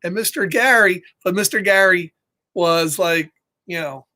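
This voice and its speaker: A middle-aged man reading aloud and telling casual stories to children in an encouraging, expressive, chatty way. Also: high voice, unhurried. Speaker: storytime reader for children. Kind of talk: animated read-aloud and casual personal storytelling.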